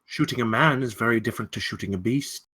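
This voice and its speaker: Man acting a bright-edged, menacing villain. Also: mid-range voice, fast talker, breathy edge. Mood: taunting. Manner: threatening, taunting. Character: menacing, ruthless armed villain, coldly scornful. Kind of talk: table read of a film script.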